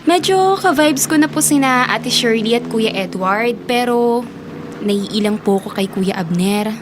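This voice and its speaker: An actress playing a polite, deferential young office trainee in a radio drama, with a Filipino accent. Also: female voice, on the high side, lively intonation, a little slow, noisy background. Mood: hesitant, uneasy. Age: young adult. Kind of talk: Tagalog radio drama acting.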